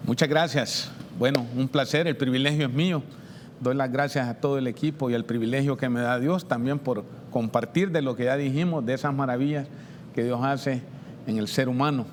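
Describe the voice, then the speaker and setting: A man with a Salvadoran accent giving an informal personal testimony in a halting way, repeating words and pausing. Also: mid-range voice, slight background noise. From San Salvador, El Salvador.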